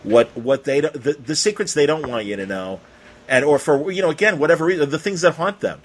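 A man's voice: on the high side, fairly quick, husky. An American man hosting a casual interview, talking in a rambling, effusive way. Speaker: podcast interviewer.

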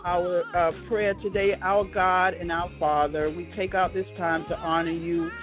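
An American woman hosting an online talk show in an upbeat, chatty, devout and exuberant way. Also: on the low side. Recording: band-limited phone-like line, music behind.

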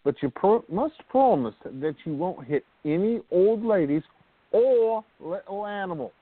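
An actor playing a friendly, boyish Australian soldier in a radio play, on a thin recording. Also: male voice, high-pitched, expressive, unhurried, no echo. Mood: friendly, proud. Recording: muffled, thin phone-like audio.

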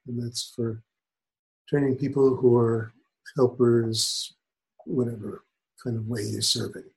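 An older man who is a spiritual teacher and author, answering interview questions in a measured, thoughtful way. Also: mid-range voice, little pitch movement, gravelly, a little mellow, low-quality recording.

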